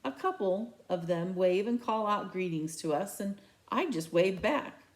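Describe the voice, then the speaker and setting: A woman's voice: mid-range voice, animated, measured pace. A woman reading a storybook aloud as narration, telling the tale in the first person. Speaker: read-aloud reader.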